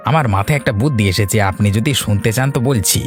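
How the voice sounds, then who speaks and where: Cartoon villain's sidekick male actor: scheming, ingratiating, rapid delivery, faint music bed. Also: medium pitch, natural voice. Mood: eager, ingratiating. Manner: ingratiating, sly. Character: scheming, fawning henchman to a rich merchant. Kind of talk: Bengali cartoon story acting.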